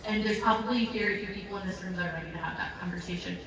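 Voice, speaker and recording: A woman introducing a live panel as a nonprofit founder, rambling and excited with many fillers and self-corrections. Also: lowish voice, racing, crowd noise. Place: large event hall with audience. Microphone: handheld mic, heard from a distance. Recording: echoey hall recorded from far off.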